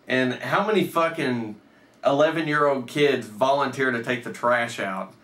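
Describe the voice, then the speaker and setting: A man's voice: medium pitch, moderate pace, fairly bright tone. A man in his thirties telling a personal story casually, with swearing and acted-out bits of dialogue. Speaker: storyteller, host. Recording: roomy echo, off the mic.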